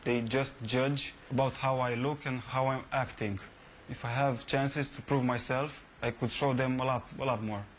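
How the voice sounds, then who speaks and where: Man speaking in a flat, even tone. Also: medium pitch, moderate pace, hardly any pauses, slight background noise. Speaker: interviewee in a report. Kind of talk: recorded report clip.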